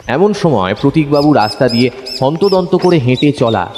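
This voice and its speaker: A detective's curious, observant companion, played by a man recounts a mystery for an audio story. His delivery is very animated, with lively swings of pitch. The voice rings in a long hall-like reverb. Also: normal speed, natural voice. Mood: storytelling. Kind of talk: dramatised first-person story narration. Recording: long hall reverb, faint background noise.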